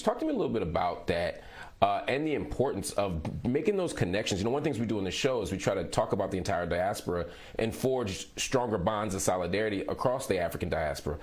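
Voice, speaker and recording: A middle-aged man hosting an interview, conversational with playful asides. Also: sits low. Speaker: interview host.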